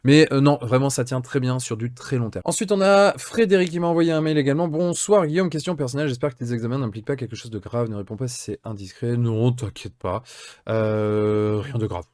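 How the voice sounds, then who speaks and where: A man's voice: medium pitch, a little fast, darkish tone, no room sound, studio quality. Chatty French man in his thirties. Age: 30s. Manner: casual, chatty, many fillers and repetitions. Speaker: miniature painting channel host. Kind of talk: answering viewer questions.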